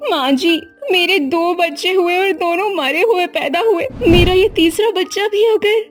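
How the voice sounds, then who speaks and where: A woman doing a shrill, tearful young wife. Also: measured pace, soft background music. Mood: anxious. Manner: pleading, tearful, high-strung. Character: frightened pregnant young wife, desperate to save baby. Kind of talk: storybook character voice.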